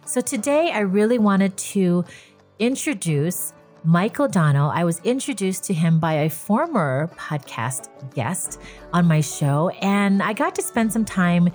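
A woman's voice: fairly low pitch, animated, measured pace, a little mellow, faint music behind. A woman hosting a podcast interview, upbeat and enthusiastic as she introduces and questions her guest. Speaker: podcast host. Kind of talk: read intro, then casual interview questions.

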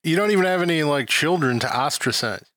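A man's voice: a little high, expressive, brisk, pushed and bright, dry recording. Dry, needling man in close, roomless sound. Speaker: podcast co-host. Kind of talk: casual banter.

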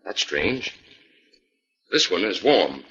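Low, decisive detective hero. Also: male voice, sing-song, takes it slowly, pushed and bright, husky, full character voice. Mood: investigating. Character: calm, decisive male scientist detective hero. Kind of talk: 1940s radio acting. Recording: dull 1940s radio recording.

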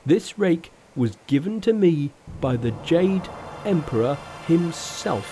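A narrator voicing a rough, indignant male pig monster in a storybook reading. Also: medium pitch, expressive, slow pace, mellow, soft background music, voice pushed up. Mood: defiant.

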